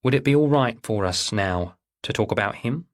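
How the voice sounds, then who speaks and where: A man voicing a calm female police detective who questions gently and persuasively in an audiobook. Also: on the low side, normal speed, natural voice. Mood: careful, patient. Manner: soothing, probing.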